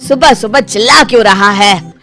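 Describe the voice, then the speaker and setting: A woman voicing an exasperated middle-aged father in a story, speaking loudly and bluntly. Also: medium pitch, normal speed, faint music behind, natural voice. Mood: scolding. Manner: loud, blunt scolding.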